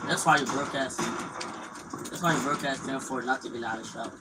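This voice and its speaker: A man's voice: medium pitch, fast talker, heavy background noise. Crude mocking young male gamer streamer. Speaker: gaming streamer. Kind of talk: casual live-stream trash talk.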